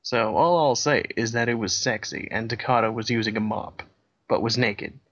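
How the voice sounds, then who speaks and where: Dude reading fanfic deadpan, dry little asides, kinda dull lo-fi sound. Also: male voice, mid-range voice, normal speed. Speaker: co-host reading a fan fiction aloud. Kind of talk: comedic dramatic reading.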